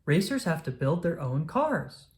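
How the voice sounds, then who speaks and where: A woman voicing an eager, self-assured boy racer in a children's storybook, with an animated, matter-of-fact delivery. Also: sits low, measured pace. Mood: firm.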